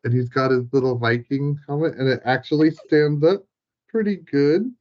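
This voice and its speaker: A man chatting casually and informally, as on a relaxed call with a friend. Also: on the high side, normal speed, muffled recording. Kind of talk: casual chat.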